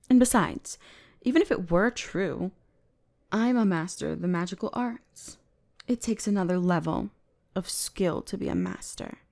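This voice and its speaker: A haughty young-lady elf mage from a fantasy roleplay audio , acted by a woman. She speaks in a mid-range female voice and draws out her words slowly. Her delivery is bossy and condescending, easily flustered and exasperated. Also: mid-range voice, darkish tone. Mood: smug, mocking. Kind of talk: scripted roleplay audio drama addressed to the listener.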